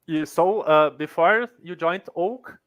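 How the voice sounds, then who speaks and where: Higher-voiced man interviewing haltingly. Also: fairly bright tone, no room sound. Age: 30s. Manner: halting, repeats words, slow. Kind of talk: casual interview.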